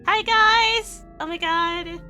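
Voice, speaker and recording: A young woman streamer who speaks Danish natively, reading a visual novel aloud and chatting with viewers, giggly and excitable with sudden squeals. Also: extremely high pitch, takes it slowly, soft background music. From Denmark.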